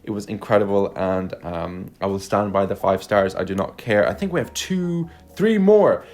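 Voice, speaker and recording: A man's voice: lowish voice, lively intonation, normal speed, a little mellow. A young man from Lithuania, a native Russian speaker, talking casually and effusively as an online reviewer, with emphatic swearing. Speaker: YouTube film reviewer. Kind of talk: casual video chat.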